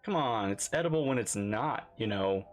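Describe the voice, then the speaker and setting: A woman's voice: a bass voice, animated, normal speed, faint music behind. A woman giving casual live game commentary, thinking aloud and exclaiming as she reacts. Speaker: reaction streamer playing a game.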